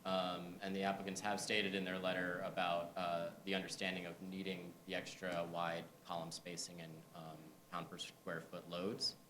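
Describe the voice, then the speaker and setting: Deep-voiced man staffer, hesitant. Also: monotone, normal speed, few pauses, fairly bright tone, echoey room, a little hiss or hum, low-quality recording. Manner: hesitant, many fillers. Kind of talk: answering commissioners.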